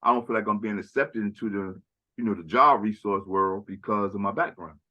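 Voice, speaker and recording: A man's voice: fairly low pitch, brisk, highs cut off. A man speaking in a casual conversational chat as a podcast guest, rambling and often tagging "right?" and "you know".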